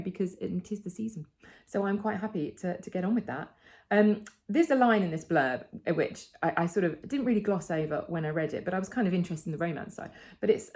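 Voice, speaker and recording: Woman, low-pitched voice, British accent, enthusiastic, conversational, casual book club host talking to viewers. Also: darkish tone. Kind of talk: casual chatty book review to camera.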